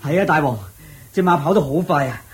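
An actor playing a loyal army general in a radio drama, deferential and emphatic, with a Hong Kong Cantonese accent. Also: male voice, a little high, fairly quick, slight background noise, natural voice. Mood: eager, excited. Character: eager, loyal army general serving his king.

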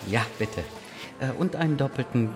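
A man's voice: medium pitch, normal speed, over music, close to an everyday voice. An actor playing a brooding, weary husband and father in a radio drama, speaking in a subdued, introspective way. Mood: guarded, casual. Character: Tolja, brooding weary husband and father, low-voiced narrator. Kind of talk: radio drama inner monologue and dialogue.

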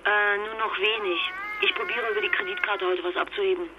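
Exhausted wife and mother, halting, fragile, naturalistic radio drama, telephone filter, dull sound with clear background noise. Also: mid-range voice, steady tone. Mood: flustered, hurried. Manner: halting, stammering, emotionally fragile. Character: distraught, exhausted wife and mother stranded abroad. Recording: noisy muffled phone-line sound.

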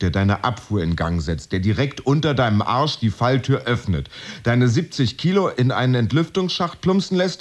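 An actor playing a laid-off office worker who narrates himself in the second person in a sardonic, agitated radio drama monologue. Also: male voice, medium pitch, husky. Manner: sardonic, self-addressing, vivid.

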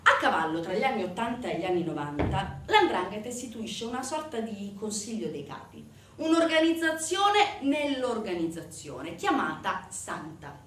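A woman delivering a theatrical narrative monologue to an audience, emphatic, with dramatic pauses and rhetorical questions. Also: medium pitch, big pitch swings. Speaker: stage actor performing a monologue. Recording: echoey, distant stage recording with noise.

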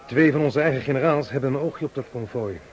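An actor playing a cool, loyal Russian partisan officer reporting in clipped military style in a radio drama. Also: male voice, mid-range voice, quick pace, lightly acted, poor audio.

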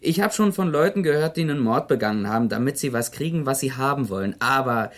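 A man's voice: medium pitch, lively intonation, fast, lightly acted. A man acting an evasive, cocky drifter accused of murder in a German radio drama, terse and mock-polite. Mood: mocking, amused.

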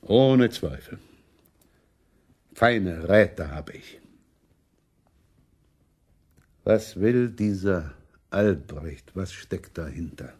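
Low-voiced, grumbling haughty prince. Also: male voice, expressive, slow pace, darkish tone, husky, exaggerated voice. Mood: sardonic, brooding. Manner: haughty, sardonic, complaining. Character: grumbling, money-minded German prince, imperious and indignant. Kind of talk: German radio play acting.

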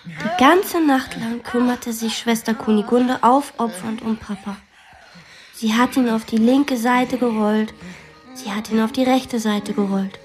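A high girlish voice played by a woman tells a macabre make-believe story in a radio drama. She is earnest, imaginative and bossy. Faint music sits behind her. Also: a little slow, natural voice. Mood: hushed, macabre. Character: imaginative, bossy young girl telling a macabre hospital game.

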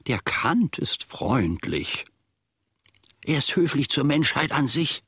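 An actor playing an aggrieved, self-important old manservant in a German radio play, grumbling and ruminating pompously in a gravelly, husky voice. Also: male voice, medium pitch, big pitch swings, slow, a bit bright, no echo, studio quality, exaggerated voice. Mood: bitter, wounded.

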